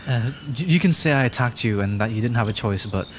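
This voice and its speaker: A man's voice: medium pitch, expressive, fast talker, a little hiss or hum, natural voice. A man playing a nervous, adorkable young dragon, injured and frightened, stammering and apologetic in an ASMR fantasy roleplay. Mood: frightened, pleading. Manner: stammering, hesitant, rambling, apologetic. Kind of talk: ASMR roleplay audio drama.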